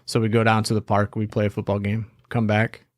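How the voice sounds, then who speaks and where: A young man from Southern California co-hosting a podcast, relaxed and reflective in casual chat, often saying "like". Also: lowish voice, brisk, no room sound, studio quality. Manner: easygoing, reflective, says "like" often. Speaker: podcast co-host.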